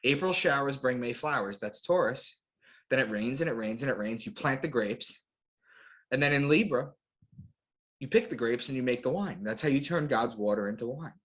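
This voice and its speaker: A man's voice: medium pitch, lively intonation, moderate pace, fairly bright tone. A man giving an explanatory lecture as an interview guest, in short declarative phrases with rhetorical questions. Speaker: guest author and astrotheologist.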